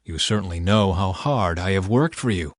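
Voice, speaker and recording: A man's voice: deep voice, moderate pace, dry recording, clean studio sound, voice pushed down, close to an everyday voice. A man voicing an earnest, hardworking herdsman in an emphatic Bible audiobook reading. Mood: earnest, insistent.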